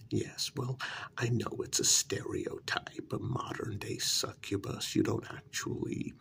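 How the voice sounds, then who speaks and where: Slow, creaky, half-whispering ASMR man. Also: mid-range voice. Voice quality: breathy, half-whispered, gravelly. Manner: slow, pausing, repeats words soothingly. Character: smooth, flattering talent agent to supernatural creatures. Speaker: ASMR role-play performer. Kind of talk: soft-spoken ASMR role-play.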